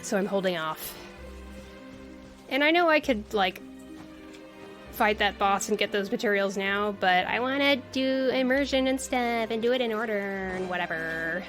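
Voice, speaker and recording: A woman's voice: mid-range voice, moderate pace, fairly bright tone. A woman giving casual livestream commentary as she plays a video game, with playful exclamations and quaint interjections. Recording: game music behind the voice.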